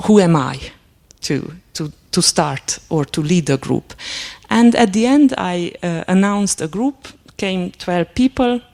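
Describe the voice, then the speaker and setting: A woman whose first language is Hungarian, giving a lecture and telling a personal story in a halting, reflective way, with many fillers and restarts. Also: low voice, gravelly, a little mellow. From Transylvania, Romania.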